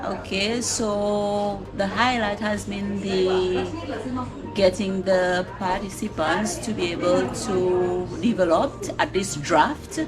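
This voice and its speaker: A woman's voice: medium pitch, steady tone, slow pace, poor audio. A woman, a trainer giving considered answers in an interview. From Seychelles. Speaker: youth programme trainer. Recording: loud instrumental music under the voice. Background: loud instrumental music.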